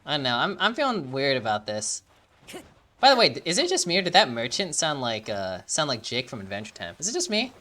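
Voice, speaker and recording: A woman's voice: rumbling low, very animated, fairly quick, fairly bright tone, a little hiss or hum. A woman giving casual gameplay commentary, reacting loosely with mumbles and swearing. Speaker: game streamer.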